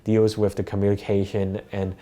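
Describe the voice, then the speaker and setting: A man's voice: lowish voice, completely flat delivery, moderate pace, mellow. A young man from Hong Kong whose first language is Cantonese, speaking steadily with many fillers in a documentary interview. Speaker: interviewee, bakery worker.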